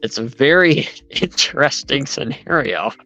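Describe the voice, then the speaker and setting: A man's voice: mid-range voice, expressive, a little slow, bright, forceful tone, dry recording, soft background music, poor audio. A man in casual chat, rambling as he retells events with lots of asides.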